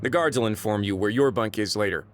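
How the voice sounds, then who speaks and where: An actor playing a composed male prison warden in a game, with a measured, dry and authoritative way of talking. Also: lowish voice, slight background noise, lightly acted. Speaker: prison administrator. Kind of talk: game voice acting. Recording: studio game audio with faint music.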